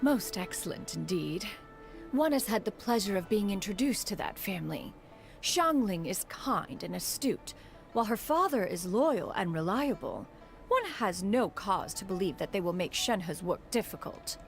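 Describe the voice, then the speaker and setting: A haughty ageless adeptus lady, formal old-timey wording, lively highish voice, faint music behind. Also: normal speed, vocal fry. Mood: composed. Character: proud, aloof immortal bird adeptus woman, motherly, mature.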